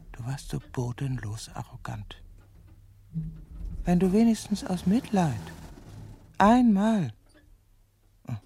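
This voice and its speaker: An actress playing a bitter, lovesick middle-aged woman who has turned killer, speaking in a deliberate, sardonic, brooding way in a radio drama. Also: rumbling low, gravelly, mellow, slight background noise, full character voice. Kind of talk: radio drama acting with intimate inner monologue.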